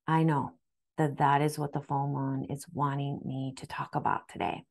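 A woman in her forties whose first language is Japanese gives an earnest, gentle spiritual talk to camera from a room at home, often restarting her words. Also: very deep voice, little pitch movement, normal speed, vocal fry. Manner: soft, earnest, with frequent restarts and fillers. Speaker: spiritual astrology guide. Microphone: webcam framing, seated facing camera.

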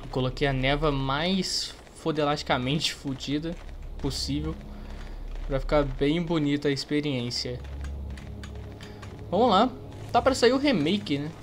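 High-pitched young Brazilian man, casual gamer. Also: expressive, measured pace, pauses often, slight background noise. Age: young adult. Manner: playful, slangy, swearing. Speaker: gaming YouTuber. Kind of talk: casual gameplay commentary.

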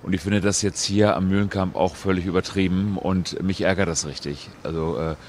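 A man's voice: deep voice, fairly even intonation, brisk. An older man giving his opinion casually in a street interview, outdoors on a handheld mic. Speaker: interviewed passer-by. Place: busy city street outdoors. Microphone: interviewer's handheld microphone. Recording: street traffic noise behind.

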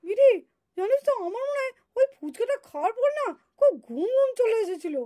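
An innocent little doll child for a puppet show. The voice is extremely high and small, and the delivery is plaintive and whining. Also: female voice, very animated, fairly quick, warm tone. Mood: puzzled. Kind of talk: puppet cartoon acting.

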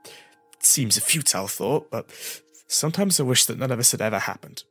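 A man's voice: medium pitch, expressive, a little fast, a bit bright, husky. Young male hero acted by a man, vulnerable, choked up, faltering, fantasy audiobook with music beneath. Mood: choked, despairing.

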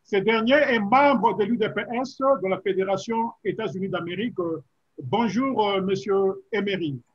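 A middle-aged man hosting a formal political debate over a video call, speaking in a measured way with frequent hesitations. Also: high voice, muffled recording. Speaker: talk show host.

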